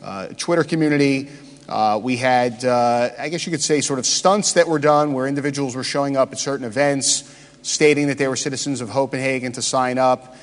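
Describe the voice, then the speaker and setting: A man's voice: mid-range voice, fairly even intonation. Man presenting in a reverberant hall. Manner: halting, many "uh" fillers. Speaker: conference speaker. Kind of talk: conference presentation. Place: large conference hall.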